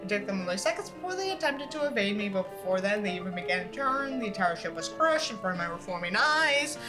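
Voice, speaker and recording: A woman's voice: medium pitch, sing-song, fast talker, music in the background. A woman reading a book aloud as an online creator, with an irreverent, exasperated manner and casual, sweary asides.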